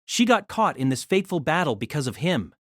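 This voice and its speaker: A man's voice: a little high, sing-song, fairly quick, no echo, clean studio sound. A man giving a dramatic scripted narration of an action fantasy story.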